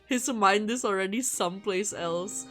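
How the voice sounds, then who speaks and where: A woman streamer with a mid-pitched voice plays through a visual novel over background music. She reads the text aloud, then breaks into casual, irreverent asides with a giggly, slangy manner. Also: moderate pace, fairly bright tone. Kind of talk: livestream let's play, reading game text aloud with casual reactions.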